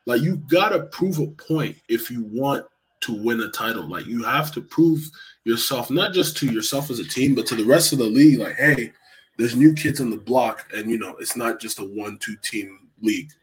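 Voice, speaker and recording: A man's voice: mid-range voice, moderate pace, low-quality recording. A man on a football podcast panel, making his point emphatically with lots of "like". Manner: emphatic, leans on "like". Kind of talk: casual football chat.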